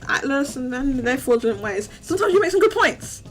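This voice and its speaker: A woman hosting a live call-in stream, chatty and upbeat, her voice going a little hoarse. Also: high-pitched, very animated, brisk, faint music behind. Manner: chatty, restarts often, upbeat. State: losing her voice. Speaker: host.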